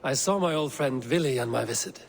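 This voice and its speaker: A man's voice: mid-range voice, expressive, brisk, slight background noise, natural voice. An actor playing a steadfast male Viking raider and clan leader in a video game. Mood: grave, measured. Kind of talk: dramatic video game acting.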